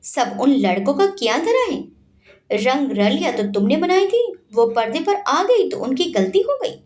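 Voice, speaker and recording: A woman's voice: sits high, big pitch swings, a little slow, darkish tone. A woman voicing a greedy, corrupt male politician in an audio story, bitter and resentful. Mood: angry, threatening. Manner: snarling through gritted teeth, resentful.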